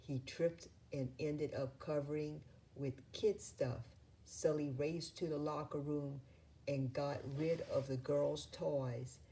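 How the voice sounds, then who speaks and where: A low-pitched woman narrates a children's picture book at a slow pace. Her delivery is deliberate and a little halting, with faint noise behind her in a home-style recording. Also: fairly even intonation, warm tone. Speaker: storybook reader. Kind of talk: read-aloud children's story narration.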